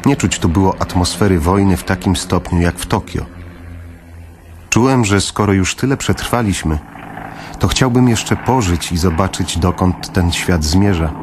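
A man narrating a literary radio drama in the first person, in a measured, somber manner. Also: sits low, darkish tone, a little hiss or hum.

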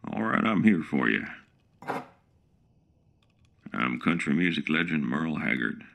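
A man's voice: very deep voice, very animated, measured pace, vocal fry, a bit bright, full character voice. A man voicing a folksy smart-home assistant with a country drawl, calling people partner. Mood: chipper. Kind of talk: comedic radio play acting.

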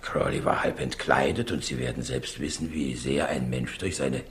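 An actor playing a frail, courteous old professor in a 1960s radio crime drama, speaking in a halting, patronizing way. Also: male voice, very deep voice, fairly even intonation. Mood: evasive. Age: older.

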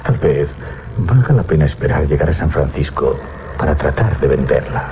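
Un actor de radioteatro de terror interpreta a un capitán de barco codicioso, burlón y teatral. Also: male voice, very deep voice, animated, normal speed, a little hiss or hum. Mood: calculating. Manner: theatrical, talks aloud to himself. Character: gruff mocking sea captain, greedy, sliding into terror. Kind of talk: radio horror drama acting. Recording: dull 1980s radio recording.

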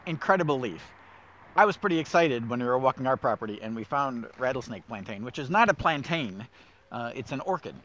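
A man's voice: medium pitch, a bit bright. A middle-aged man guiding a casual walk through winter woods, enthusiastic and thinking aloud with plenty of fillers. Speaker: woodland steward host. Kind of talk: casual nature walk guide.

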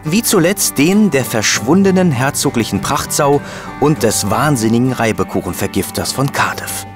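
An actor playing a put-upon, obliging and wry assistant and chronicler in a scripted radio drama. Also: male voice, medium pitch, animated, normal speed, over music, lightly acted. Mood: narrating, composed.

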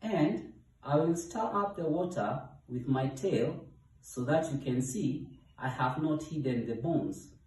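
A man's voice: fairly high pitch, expressive, takes it slowly, a little mellow, voice pushed up. A man voicing an eager, pleading, innocent storybook hippo with an earnest singsong delivery. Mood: earnest promising. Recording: echoey room, distant from mic.

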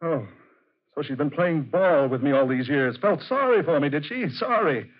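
A man's voice: fairly high pitch, lively intonation, normal speed. An actor in a 1940s radio drama playing a hot-tempered man spurned by the woman he loves. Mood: vengeful rage. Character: jilted, hot-tempered, successful man in love. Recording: muffled old 1940s radio recording.

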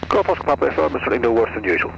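A man's voice: medium pitch, very animated, very fast, vocal fry, dull audio. A man speaking in clipped aviation phrases over an aircraft radio. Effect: aircraft radio transmission. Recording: noisy, crackly aircraft radio.

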